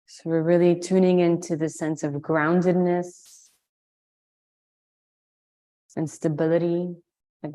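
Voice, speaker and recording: A woman leading a guided meditation, giving slow, soothing instructions with long pauses. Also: deep voice, mellow. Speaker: meditation and yoga teacher.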